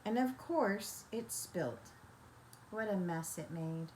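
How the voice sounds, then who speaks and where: Slow-paced older woman reading a bedtime story. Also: medium pitch, expressive, a little mellow, a little hiss or hum. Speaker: children's storyteller.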